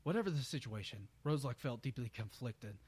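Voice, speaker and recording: A man's voice: medium pitch, expressive, fairly quick, a little mellow, breathy edge. Stumbling male amateur story reader. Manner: stumbling, often correcting himself. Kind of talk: amateur dramatic reading of fan fiction.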